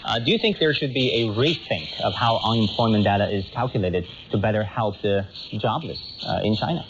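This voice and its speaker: A man hosting a current affairs radio interview, putting questions in a measured, hesitant way. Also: lowish voice. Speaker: radio host. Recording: muffled shortwave radio, heavy static. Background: loud shortwave static.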